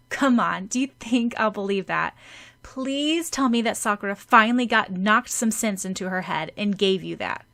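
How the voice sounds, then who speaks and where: A woman voicing a bossy, excitable schoolgirl with a loud, dramatic, exclamatory manner in an audiobook. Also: medium pitch, normal speed, gravelly. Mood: bossy disbelief.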